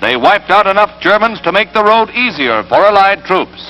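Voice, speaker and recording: A man's voice: sits high, lively intonation, normal speed, vocal fry, highs cut off. A man with an American accent narrating a 1940s newsreel in a dramatic, emphatic, scripted style. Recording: old 1940s newsreel soundtrack, loud music. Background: loud orchestral newsreel score.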